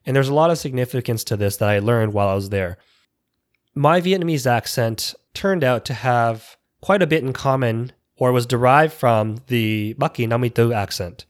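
A man, an educator giving an earnest, reflective personal speech with small restarts. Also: medium pitch. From Canada. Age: 30s.

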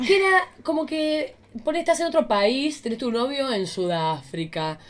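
A woman's voice: high voice, expressive, normal speed. A woman with an Argentine accent hosting a casual comedic chat in a playful, theatrical, exclamatory way. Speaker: podcast host.

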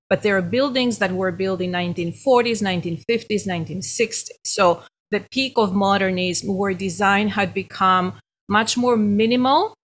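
A middle-aged woman from Greece with a Greek accent, a guest professor telling her story in a podcast interview in a thoughtful, measured way. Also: mid-range voice, a bit bright. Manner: thoughtful, measured, laughs now and then.

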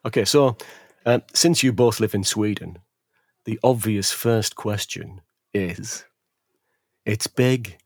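A man hosting a podcast chat, relaxed and hesitant with plenty of fillers. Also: fairly low pitch, breathy edge, no echo, clean studio sound. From England. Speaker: podcast host. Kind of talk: casual chat. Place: at home near some furniture.

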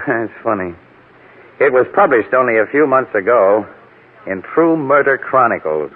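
A confident American photographer-detective acted by a man in a 1940s radio mystery. He is brisk and probing, with a rough-edged, lively swinging voice. The vintage recording sounds muffled. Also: mid-range voice, close to an everyday voice. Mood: knowing, probing. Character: shrewd, confident newspaper photographer sleuth. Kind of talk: 1940s radio crime drama acting. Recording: dull old radio recording.

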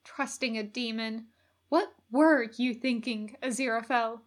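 A woman's voice: on the high side, steady tone, unhurried, close to an everyday voice. A woman voicing a heartbroken male angel who scolds himself resolutely in an audiobook reading. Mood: bitter self-reproach.

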